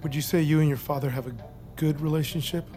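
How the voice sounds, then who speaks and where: Man playing a mellow, calm army psychiatrist. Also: a little high, fast, soft background music, natural voice. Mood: calm, probing. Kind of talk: naturalistic audio drama acting.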